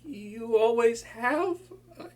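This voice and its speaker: A man's voice: very high voice, expressive, takes it slowly, exaggerated voice. A man playing a flustered, boastful young male supervillain who stammers and swings between moods. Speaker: voice actor. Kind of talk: hobby audio roleplay to a listener.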